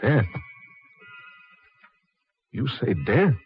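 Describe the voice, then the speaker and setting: An actor playing a bewildered, frightened dead man, halting and disbelieving, in 1940s radio horror style. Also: mid-range voice, lively intonation, faint music behind. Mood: dazed, fearful. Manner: halting, disbelieving, repeating questions. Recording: dull 1940s radio recording.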